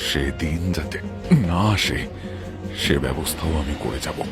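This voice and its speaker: A man playing an earnest, principled village landlord in an animated folktale drama, speaking firmly and seriously. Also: rumbling low, a little slow, vocal fry, over music, full character voice. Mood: resolute.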